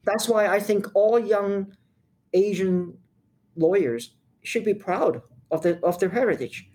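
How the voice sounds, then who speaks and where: An older woman from Hong Kong, a lawyer, answering interview questions in a measured, frank way with emphatic points. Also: medium pitch, dark tone.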